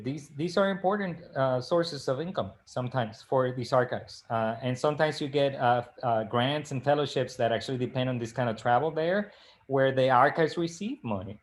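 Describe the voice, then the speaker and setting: Hesitant middle-aged man from the Dominican Republic. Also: mid-range voice. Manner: halting, many "uh" fillers and restarts. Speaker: librarian and co-host. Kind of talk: casual live-stream discussion. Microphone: video call.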